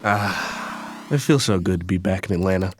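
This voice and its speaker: A man's voice: deep voice, normal speed, slight background noise, natural voice. A young man playing a disgruntled son in an audio drama, narrating in the first person in a casual, slangy, conversational way. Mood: weary, reflective. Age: young adult. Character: disgruntled young son from a troubled wealthy family. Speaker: actor and narrator.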